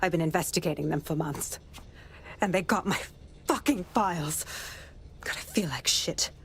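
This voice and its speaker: A woman's voice: on the low side, moderate pace, a little hiss or hum, natural voice. A woman playing a wounded, weary investigator in a game, sounding weak and drained. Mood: pained, bitter. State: weak, exhausted. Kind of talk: game cutscene drama.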